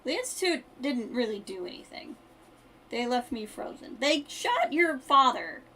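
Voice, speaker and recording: A woman's voice: fairly high pitch, very animated, a little slow, pushed and bright, a little hiss or hum. A woman game streamer giving casual live commentary with wry, dry asides.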